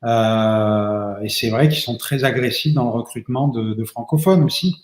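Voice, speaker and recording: A man's voice: medium pitch, normal speed. A man, an immigration lawyer, answers audience questions at length, with many fillers and restarts. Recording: roomy echo.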